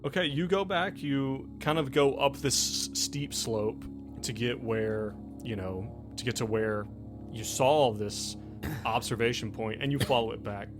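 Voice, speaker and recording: A man's voice: medium pitch, steady tone, a little slow. A man narrating a tabletop game as game master, improvising and searching aloud for words. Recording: music behind the voice. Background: background music.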